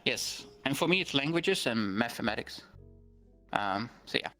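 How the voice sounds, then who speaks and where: Bright-voiced Dutch man, earnest. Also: medium pitch, lively intonation, normal speed, soft background music. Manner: earnest, plain explaining with many ums. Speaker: guest streamer. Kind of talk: interview conversation.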